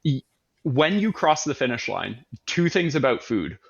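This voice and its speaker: Male host, easygoing questions, um-filled, mid pitch, slightly muffled sound. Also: sing-song, unhurried, vocal fry, breathy edge. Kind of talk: casual interview.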